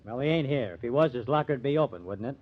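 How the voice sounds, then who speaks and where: A man's voice: medium pitch, expressive, quick pace, lightly acted. A man playing a streetwise, skeptical ballplayer in an old-time radio crime drama, slangy and teasing. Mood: suspicious. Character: streetwise, skeptical male ballplayer, needling his teammate. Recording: dull, band-limited old radio recording.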